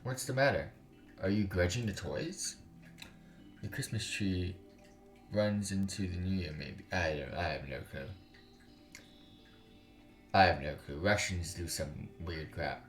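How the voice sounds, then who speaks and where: Male gaming streamer, low-pitched, informal and sweary, casual chat with viewers, echoey room sound. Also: unhurried, long pauses. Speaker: live streamer, gaming channel host. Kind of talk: casual live-stream chat with game text read aloud. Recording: roomy room echo, music behind. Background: music.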